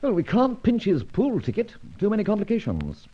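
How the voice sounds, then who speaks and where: A husky, flippant British gentleman thief. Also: high voice, wildly swooping pitch, brisk, exaggerated voice. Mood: jaunty, scheming. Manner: jaunty, wry, old-fashioned upper-class slang. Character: suave, flippant gentleman thief, witty and adventurous. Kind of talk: old-time radio detective drama acting. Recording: dull, lo-fi old radio recording.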